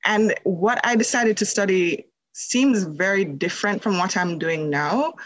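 A woman's voice: mid-range voice, unhurried, no echo, low-quality recording. A woman from Jamaica, a holistic nutrition practitioner, telling her story as a podcast guest in a conversational way, with many fillers. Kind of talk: casual interview storytelling.

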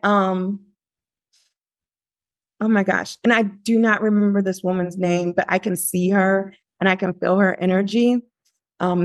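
A woman's voice: mid-range voice, no echo. A woman in her forties, a podcast guest telling a personal story, emotional and searching for words. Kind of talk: reflective storytelling in conversation.

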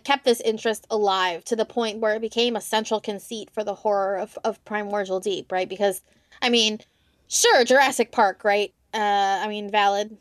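A woman's voice: medium pitch, no echo, studio quality. A woman speaking as a podcast host and interviewer. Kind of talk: scripted podcast introduction, then casual interview chat.

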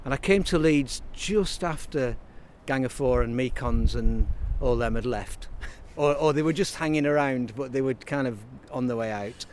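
A man's voice: medium pitch, moderate pace, hardly any pauses, a little mellow, slight background noise. A man, talking as an interview guest in a rambling, reflective way with many restarts. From Burnley, Lancashire, England. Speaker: interview guest, writer and songwriter. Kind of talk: casual interview conversation.

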